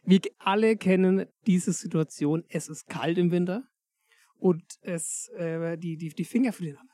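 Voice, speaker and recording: Playful Berlin podcast host, high and mellow, with a dry sound. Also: male voice, normal speed, no room sound. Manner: playful, joking, stumbles over words. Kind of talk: casual chat.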